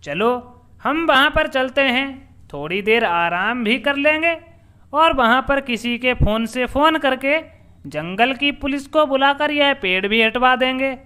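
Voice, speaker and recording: Storybook village man, voiced by a male narrator: eager, practical, high and clear. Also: measured pace, pushed and bright, exaggerated voice. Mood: eager suggestion.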